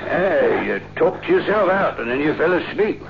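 Brisk soothing official, higher-pitched, lively intonation, vintage radio drama. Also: male voice, vocal fry, soft background music. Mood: brisk, rousing. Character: brisk, soothing male official handling a disturbed patient. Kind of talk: 1960s radio drama acting. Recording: dull, faintly noisy vintage radio recording.

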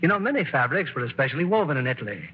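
Male radio drama host, formal presenter, higher pitch, muffled vintage broadcast sound. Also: very animated, racing, a little hiss or hum. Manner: polished, formal presenter delivery. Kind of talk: scripted radio hosting. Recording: dull 1940s radio broadcast.

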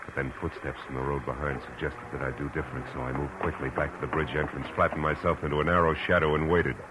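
Very deep-voiced American private eye. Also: male voice, level tone, quick pace. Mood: terse, wry. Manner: clipped, commanding, world-weary tough talk. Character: hard-boiled male private detective from Los Angeles. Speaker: lead actor and first-person narrator. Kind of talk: 1940s radio detective acting and narration. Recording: noisy old-time radio recording.

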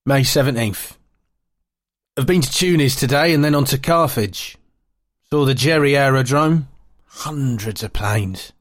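A man playing a brisk British sergeant major of the Second World War, reading short, to-the-point diary entries. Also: mid-range voice, no echo, clean studio sound, lightly acted.

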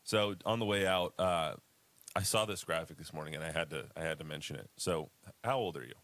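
A man's voice: rumbling low, brisk, a bit bright, no room sound. A man in his twenties co-hosting a casual sports podcast, rambling and laughing often. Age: 20s. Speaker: podcast co-host.

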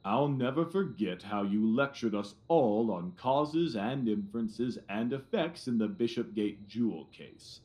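A blustering, self-satisfied police detective in an audio drama. He speaks slowly in a low voice that rises and falls widely. He is condescending and repeats himself for emphasis. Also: lively intonation, a little mellow.

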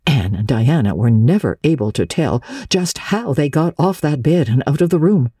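A woman narrating classic literature as an audiobook, with dramatic, measured storytelling. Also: sits low, expressive, dark tone, husky, no room sound.

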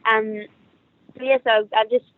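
A young actress with a New Zealand accent talks in a friendly, chatty way, fairly high but with little rise and fall. Her voice comes through a thin, phone-like call line with a dry sound. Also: flat delivery, slow pace, no room sound. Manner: friendly, chatty, with restarts and laughs. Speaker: actress, interview guest. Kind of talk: casual interview chat. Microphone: remote call. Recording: tinny, dry call audio.

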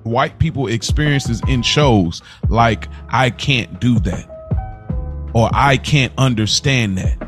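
A man co-hosting a podcast, chatting in a relaxed, rambling way. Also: mid-range voice, takes it slowly, faint music behind. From Kentucky, USA. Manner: relaxed, rambling, many fillers and restarts. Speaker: podcast co-host. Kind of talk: casual conversation.

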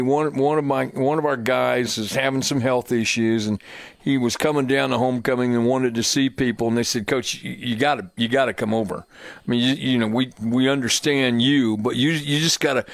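A man's voice: mid-range voice, creaky, dry recording. An older man hosting a live sports talk radio show, with a folksy manner of talking. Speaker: sports radio host and coach.

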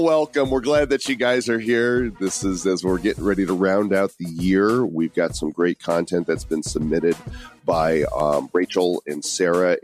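Low-voiced joking podcast man. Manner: playful, teasing, mock-grand announcer delivery. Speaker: podcast co-host. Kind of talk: jokey podcast banter.

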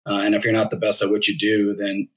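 Low, flat-voiced man in his thirties. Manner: measured, thoughtful, with many fillers. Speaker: guest. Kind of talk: casual interview.

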